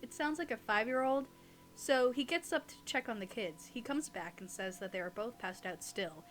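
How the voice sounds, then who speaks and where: A woman's voice: fairly high pitch, normal speed. A young adult woman co-hosting in a casual, giggly, teasing way, chatting and reading aloud. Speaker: podcast co-host and audio roleplay creator.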